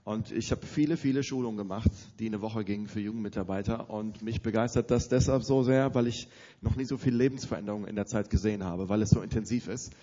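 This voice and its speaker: A man's voice: on the low side, fast talker, dull audio. Man with a cold, hosting a conference. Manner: energetic, directs the audience with instructions. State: has a cold, slightly strained voice. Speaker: conference host for youth leaders. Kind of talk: addressing a live audience at a conference. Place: large conference hall with audience.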